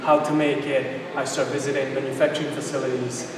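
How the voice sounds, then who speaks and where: A man's voice: mid-range voice, little pitch movement, fairly quick, over a noisy crowd. A middle-aged man with a Bosnian accent, a native Bosnian speaker, telling his story conversationally as an interview guest. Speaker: interview guest, supplement company founder. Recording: echoey hall, distant mic, noisy ambience.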